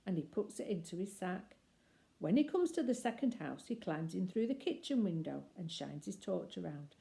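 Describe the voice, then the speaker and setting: A woman reading a children's story aloud expressively, with deliberate phrasing and emphatic pauses. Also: fairly low pitch, very animated, creaky, dark tone.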